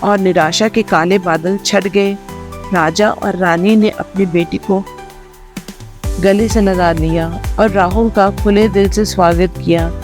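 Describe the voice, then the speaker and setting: A storyteller narrates a scripted children's fairy tale. The voice sits at a middling pitch. Music plays clearly underneath throughout. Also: fairly even intonation, slow pace. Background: background music.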